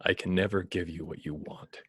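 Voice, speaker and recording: A brooding billionaire vigilante, acted by a man, very deep and husky. He keeps his lines terse and restrained in a superhero script read-through. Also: steady tone, fairly quick. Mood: torn, restrained. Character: brooding, curt, conflicted male vigilante billionaire. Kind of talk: amateur table read of a superhero screenplay.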